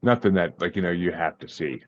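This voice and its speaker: Deep, fast-talking man on a dull line. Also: lively intonation, no room sound. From Massachusetts, USA. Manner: laid-back, hesitant, repeats words. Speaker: podcast guest, paranormal podcaster. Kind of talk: casual interview chat.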